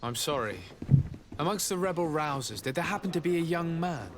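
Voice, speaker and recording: A man's voice: fairly high pitch, lively intonation, brisk, background noise, natural voice. A man playing a courteous, inquisitive young nobleman envoy in video game dialogue, polite, formal and composed. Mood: courteous, concerned.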